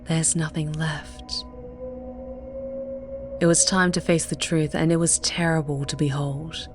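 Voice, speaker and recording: A woman reads scripted fiction as an audiobook narrator. Her voice sits low, and her delivery is measured and dramatic. Faint music plays behind her. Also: fairly even intonation.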